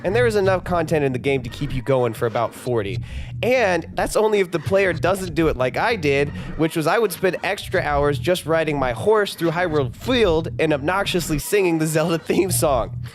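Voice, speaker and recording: A man's voice: mid-range voice, noisy background. A young man delivering a scripted video essay on movies, with sarcastic, emphatic lists. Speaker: video commentary host.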